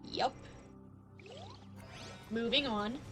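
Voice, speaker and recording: A woman's voice: fairly high pitch, very slow. A woman game streamer giving casual, chatty live commentary to her viewers over game music. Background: video game music.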